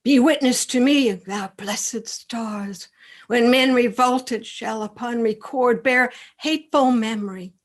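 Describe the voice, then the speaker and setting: Slow, anguished remorseful soldier acted by a woman. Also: on the high side. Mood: despairing. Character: Enobarbus, remorseful soldier who deserted his master. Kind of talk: theatrical Shakespearean radio play acting.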